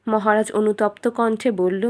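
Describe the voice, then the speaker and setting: A woman's voice: medium pitch, level tone, normal speed. A woman reading scripted narration as the storyteller of a children's fairytale.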